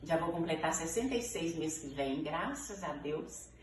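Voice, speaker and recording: A woman's voice: sits low, lively intonation, moderate pace. A woman of sixty-five with a Minas Gerais Brazilian accent, answering informal interview questions conversationally, often checking in with little tag words. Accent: Brazilian Portuguese, Minas Gerais. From Ferros, Minas Gerais, Brazil. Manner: conversational, frequent checking tags like "né" and "sabe". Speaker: interviewee, teacher. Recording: echoey room, distant mic, background noise.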